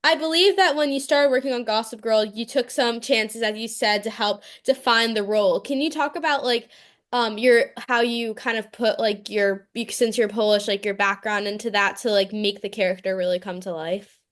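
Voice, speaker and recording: Friendly woman host with a higher voice. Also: fairly even intonation, normal speed. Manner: friendly, backchannels and laughs a lot. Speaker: podcast host. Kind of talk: casual interview questions.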